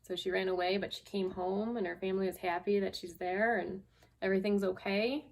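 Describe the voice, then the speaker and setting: Female storyteller for a children's read-aloud, mid-range voice, simple and deliberate phrasing. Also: mid-range voice, steady tone, vocal fry, a little hiss or hum, poor audio. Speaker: guest storyteller, school staff member.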